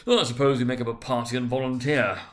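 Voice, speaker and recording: A great fat man of business, as a male storyteller puts him on, bluff and loud. He is blunt and offhand, wholly unconcerned, like a well-fed character in a storybook. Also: medium pitch, fairly even intonation, quick pace, close to an everyday voice. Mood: bored, blunt.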